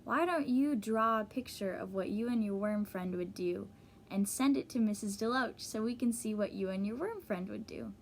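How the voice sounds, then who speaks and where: A woman teacher reading a children's storybook aloud, pausing to explain and ask young listeners questions. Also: mid-range voice, slight background noise.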